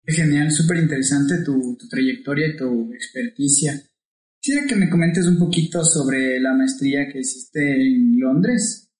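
A man's voice: medium pitch, measured pace, darkish tone. A man, a podcast host introducing a guest and asking questions in a friendly, upbeat way. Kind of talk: interview questions. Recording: roomy echo.

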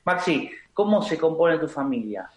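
A man from Argentina, hosting a casual interview with eager questions and quick laughs. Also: on the high side, big pitch swings. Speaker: host. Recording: echoey room.

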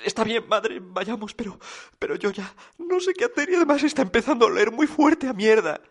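A man's voice: very high voice, sing-song, a little fast, slightly rough, pitched above the performer's own voice, full character voice. A man voicing a flustered, scandalized woman who stammers and frets in a comic story. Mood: fretful. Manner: stammering, fretful.

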